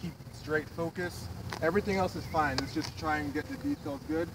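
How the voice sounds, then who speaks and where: A man's voice: a little high, fairly quick. A man coaching a youth drill team in an outdoor parking lot, earnest and repetitive, heard on a phone camera. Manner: earnest, repeats instructions with many fillers. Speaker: drill team member giving feedback. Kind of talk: casual coaching talk. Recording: distant phone audio, loud outdoor noise.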